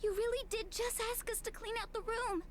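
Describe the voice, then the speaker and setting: An actress playing a timid, anxious, apologetic convent girl in a visual novel, speaking haltingly. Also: very high voice, fairly even intonation. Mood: flustered protest. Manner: hesitant, halting. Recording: noisy game audio with clicks and ambience.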